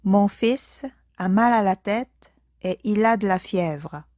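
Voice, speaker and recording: A woman teaching a language, saying short sentences slowly and carefully, phrase by phrase, then repeating them whole. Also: mid-range voice, a little mellow, dry recording, clean studio sound. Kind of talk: slow speaking-practice drill.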